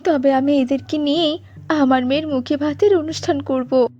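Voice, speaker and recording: A woman's voice: high-pitched, steady tone, moderate pace, mellow, muffled recording, lightly acted. A woman voicing a humble, devoted young mother in a cartoon, with an earnest manner. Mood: wistful, glad.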